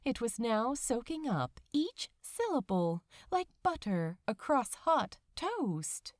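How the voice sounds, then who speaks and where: A woman's voice: on the high side, sing-song, slow pace, dry recording, clean studio sound. A woman narrating a story dramatically, with an emotive, theatrical delivery. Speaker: narrator of fan fiction audiobook.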